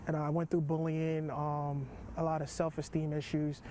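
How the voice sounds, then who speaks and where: Young man from haiti, earnest, reflective, monotone, slightly higher pitch, faint noise. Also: moderate pace. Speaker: interviewee, athlete. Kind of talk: interview.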